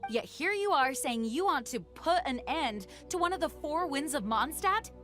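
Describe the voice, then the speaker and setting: Earnest knight commander over music. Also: female voice, sits high, lively intonation. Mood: indignant, stern. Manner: formal, measured, composed. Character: earnest, dignified young woman acting commander of knights. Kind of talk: video game cutscene dialogue. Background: background music.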